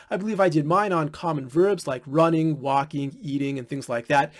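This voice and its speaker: A young man from the United States giving candid, blunt advice in an informal monologue, with the odd swear word. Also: a little high. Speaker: vlogger.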